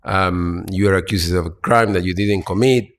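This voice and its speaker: Low-voiced halting man on a panel. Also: fairly even intonation. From Argentina. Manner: halting, many fillers and restarts. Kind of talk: panel talk. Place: conference hall.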